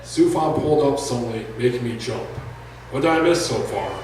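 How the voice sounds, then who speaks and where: A man's voice: medium pitch, fairly quick. A man who is an author reads his own first-person story aloud at a live indoor reading. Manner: raises voice for shouted dialogue. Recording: echoey room, distant mic, faint noise.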